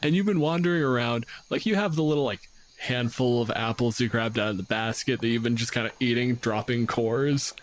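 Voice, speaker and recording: A man's voice: medium pitch, a little fast, poor audio. A man improvising narration as a tabletop game master, casual and loose with profanity.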